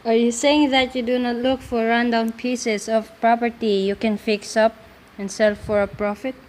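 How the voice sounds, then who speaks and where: Female amateur as polite, skeptical radio interviewer, fairly high voice, faint noise behind. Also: level tone, normal speed, a little mellow, natural voice, rough recording. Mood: challenging. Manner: formal, challenging questions. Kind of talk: scripted interview in a student radio play.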